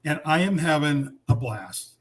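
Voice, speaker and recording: A man's voice: fairly high pitch, animated, a little slow. A man in his seventies, a podcast guest who tells his life story in an easy, reflective way with many fillers. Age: 70s. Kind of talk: casual chat.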